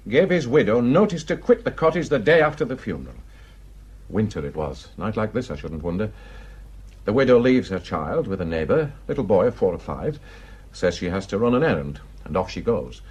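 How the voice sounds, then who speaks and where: Husky, animated Northern English gossip. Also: male voice, lowish voice. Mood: grim gossip. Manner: garrulous, emphatic, colloquial storytelling. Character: gossipy plain-spoken Yorkshire village man, indignant storyteller. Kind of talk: radio drama acting.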